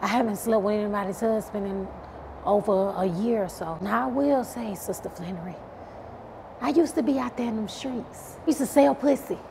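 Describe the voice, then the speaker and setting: A woman's voice: mid-range voice, moderate pace, vocal fry, noisy background, lightly acted. A woman as a subdued, pious ex-streetwalker. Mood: earnest, defensive. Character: unashamed reformed streetwalker turned pious church musician. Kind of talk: comedy sketch acting. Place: dark indoor parking garage.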